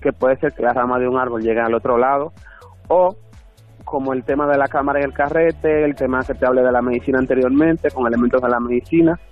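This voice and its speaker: A man's voice: mid-range voice, fairly even intonation, fast talker. A man with a Dominican accent giving conversational answers as a guest in an interview. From Dominican Republic. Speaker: interview guest, artist and designer. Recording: thin phone-like band, faint music.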